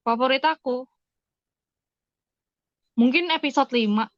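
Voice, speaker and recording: A woman's voice: high-pitched, slow, no room sound. An Indonesian woman chatting casually as a guest on a podcast. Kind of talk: casual chat. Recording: slightly dull, dry close sound.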